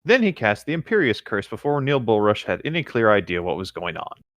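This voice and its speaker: A man's voice: on the low side, very animated, brisk, no room sound, clean studio sound. A man co-hosting a comedic read-aloud, reacting casually with wry, skeptical remarks. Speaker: co-host reading and reacting to fan fiction.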